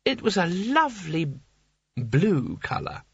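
A man voicing a tipsy, dreamy old male seraph who talks slowly and wanders off mid-thought, hiccuping. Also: sits high, big pitch swings, vocal fry, breathy edge, dry recording, lo-fi sound, pitched above the performer's own voice, full character voice.

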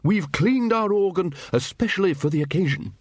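Man putting on a blustering old wizard, pitched up and animated. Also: dry recording, studio quality. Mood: hearty, booming. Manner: hesitant bluster, halting with ums and uhs. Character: blustering, hearty old male wizard headmaster, absent-minded.

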